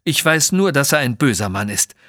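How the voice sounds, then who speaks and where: Man voicing an earnest, cautious Sherpa guide, slightly husky. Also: medium pitch, sing-song, normal speed, pushed and bright, dry recording, studio quality. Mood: warning. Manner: formal, earnest, warning.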